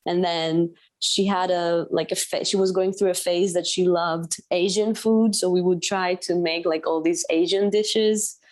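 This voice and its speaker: A woman's voice: fairly low pitch, fairly even intonation, measured pace, few pauses, darkish tone. A woman from Greece, a guest on a podcast, telling stories in a cheerful way and laughing often. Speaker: guest musician. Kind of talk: casual interview chat.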